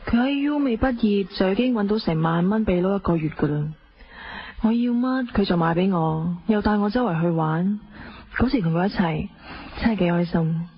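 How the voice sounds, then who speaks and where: A woman's voice: mid-range voice, normal speed, husky. An actress with a Hong Kong accent playing a spoiled, playful young wife in her twenties, telling her story in a radio drama. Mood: breezy, candid. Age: young adult. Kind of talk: radio drama acting, first-person narration. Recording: dull lo-fi audio with faint noise.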